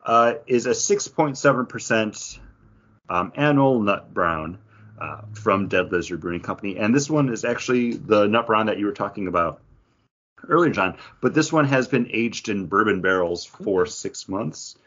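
A man with a fairly low voice talks as a podcast co-host. His delivery is casual and conversational, wandering a little as he goes. The recording is slightly dull and lo-fi. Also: animated, normal speed, a bit bright. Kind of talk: casual chat, craft beer review. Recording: dull, lo-fi audio.

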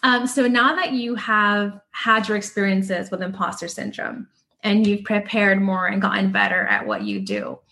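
Host: woman, mid pitch, measured and encouraging interviewer. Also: lively intonation, sharp tone. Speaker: podcast host. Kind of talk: interview conversation.

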